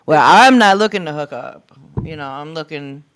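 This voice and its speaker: A woman's voice: sits low, animated, moderate pace, clear, resonant tone, no echo, clean studio sound. An American woman in her early forties chatting casually on a podcast in a candid, slangy way. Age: early 40s. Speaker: podcast panelist. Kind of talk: casual chat.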